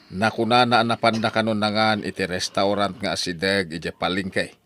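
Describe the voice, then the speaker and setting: A man's voice: fairly low pitch, little pitch movement, a little fast, fairly bright tone, natural voice. A man with an Ilocano accent playing a concerned older brother, passing on news in a chatty way in a radio drama. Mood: curious. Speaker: elder brother.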